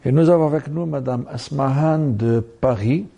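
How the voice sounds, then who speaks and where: A man's voice: mid-range voice, moderate pace, creaky, mellow. A man hosting a religious TV program, prompting his guest with brief interjections. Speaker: TV host. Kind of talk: TV talk show. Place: TV studio.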